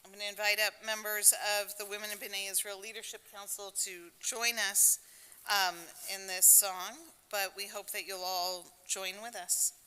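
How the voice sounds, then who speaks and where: A woman leading a prayer service, speaking and chanting prayers in a steady, measured cadence in a synagogue sanctuary. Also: mid-range voice, clear, resonant tone. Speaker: service leader. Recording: echoey sanctuary hall.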